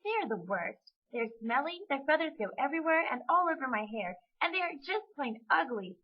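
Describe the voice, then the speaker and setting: A woman puts on a young girl from a children's story, grumpy and dramatic. Her high voice leaps about as she whines and complains. The sound is a little dull. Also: very animated, a little fast. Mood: grumpy, whiny. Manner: whiny, complaining, exclamatory. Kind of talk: children's story character reading.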